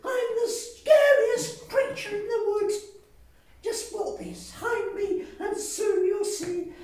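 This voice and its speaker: A woman voicing a small, clever, boastful mouse in a storybook reading, with a squeaky, giggly manner. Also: slow, darkish tone. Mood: cheeky. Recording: echoey room, distant mic.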